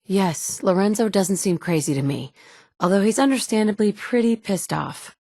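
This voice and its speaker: A woman's voice: medium pitch, lively intonation, moderate pace, darkish tone, dry recording, studio quality. An actress playing a determined, guarded woman survivor in a video game, speaking in a clipped, matter-of-fact way. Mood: cool, wry. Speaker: voice actor for a video game player character. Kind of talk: video game dialogue lines.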